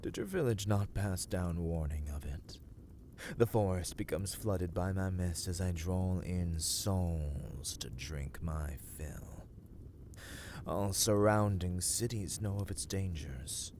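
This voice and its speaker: A slow, deep, imperious vampire lord. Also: male voice, a little mellow, slight background noise. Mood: haughty. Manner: slow, theatrical, archaic formal phrasing, often dropping to whispers. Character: ancient male vampire lord, imperious, predatory, coldly courteous. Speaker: voice actor in audio roleplay. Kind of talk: ASMR dark fantasy roleplay.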